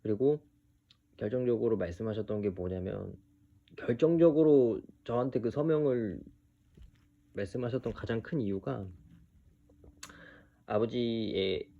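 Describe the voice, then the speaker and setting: A man in his early thirties giving an unscripted personal monologue, speaking haltingly with hesitant pauses. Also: medium pitch, expressive, gravelly, phone-quality sound. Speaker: video creator telling his own story.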